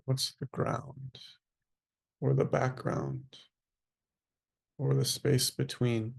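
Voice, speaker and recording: Slow, creaky-voiced man guiding meditation. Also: medium pitch, expressive. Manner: unhurried, hushed, sparse phrases with long pauses. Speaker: meditation teacher leading a group. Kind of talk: guided meditation.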